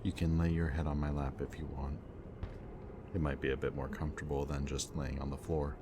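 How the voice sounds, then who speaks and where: A man speaking a gentle, hesitant roleplay monologue to the listener as a soft-spoken villain. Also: extremely low pitch, level tone, fairly quick, background noise.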